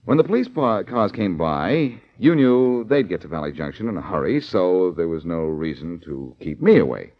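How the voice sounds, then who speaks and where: Wry male sleuth, low voice, lively swings, dull vintage radio sound. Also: moderate pace, few pauses, exaggerated voice. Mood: confident deducing. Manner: wry, self-assured. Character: cocky, quick-witted male amateur detective. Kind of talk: 1940s radio detective drama acting. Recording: muffled old radio recording.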